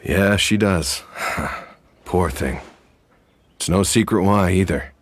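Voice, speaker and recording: An actor playing a sympathetic townsman in a game, talking gently and sighing over someone's sad story. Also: sits low, takes it slowly, no echo. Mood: sorrowful. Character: sympathetic older townsman at a graveyard.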